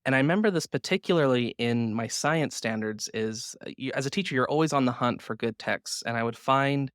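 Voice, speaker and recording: A man's voice: medium pitch, a little fast, fairly bright tone, no room sound. Man hosting an interview, dry close sound. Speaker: podcast host, former classroom teacher. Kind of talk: conversational interview questioning.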